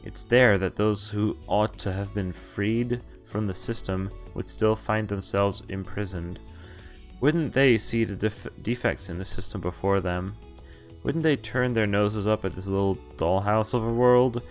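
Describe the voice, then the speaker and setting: A man's voice: fairly low pitch, normal speed, soft background music. A man streaming a visual novel, reading the game text aloud in a half-acted way and breaking off for wry, casual asides.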